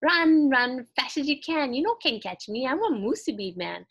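Storybook role: cocky little rice-ball man acted by a woman, high, sing-song, teasing. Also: big pitch swings, normal speed, breathy edge, exaggerated voice. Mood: gleeful taunting. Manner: sing-song taunting in Hawaiian Pidgin phrasing. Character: cheeky little rice-ball man, taunting runaway, boastful.

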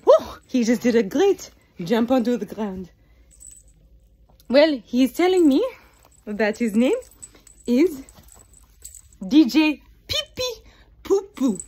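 A woman playing a clumsy, silly French lung doctor for a children's skit, halting, playful and apologetic, with a French accent. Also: sits high, sing-song, dark tone, heavily acted. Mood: playful, chatty. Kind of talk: improvised children's show skit.

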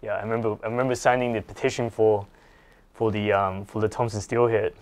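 A man's voice: lowish voice, flat delivery, brisk. A man in his forties hosting a casual conversation in an office, agreeable and often repeating his words. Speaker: interviewer, host. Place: small office, indoors.

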